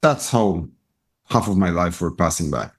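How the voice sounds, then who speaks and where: A middle-aged man from Israel with an Israeli accent, a guest telling business stories in a lively way with many restarts. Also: deep voice, slightly rough. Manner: animated storyteller, quick bursts, many restarts. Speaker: guest, retail business owner. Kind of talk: casual storytelling interview.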